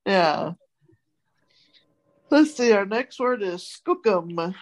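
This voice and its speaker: A woman's voice: medium pitch, animated, slow pace, noisy background. A woman hosting a casual word game, reading out obscure words and spelling them letter by letter. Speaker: quiz host giving obscure words.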